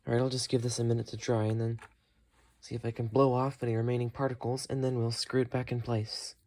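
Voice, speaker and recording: A man's voice: mid-range voice, flat delivery, quick pace, a little mellow. A man hosting a casual repair tutorial, thinking aloud as he works through each step. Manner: thinks aloud while working. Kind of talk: casual hands-on walkthrough.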